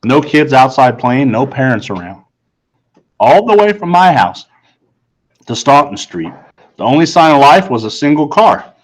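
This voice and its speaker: A man reading first-person story narration aloud in an earnest, deliberate storytelling manner. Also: medium pitch, sing-song, poor audio. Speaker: audiobook reader.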